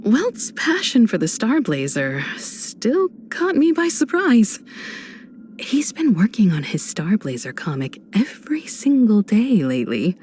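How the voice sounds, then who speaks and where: An actress voicing a composed adult woman space traveler in a video game, a warm mentor who speaks in a measured, reflective, gently teasing way. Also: mid-range voice, very animated, a little hiss or hum. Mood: fond, amused. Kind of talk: video game character voice lines.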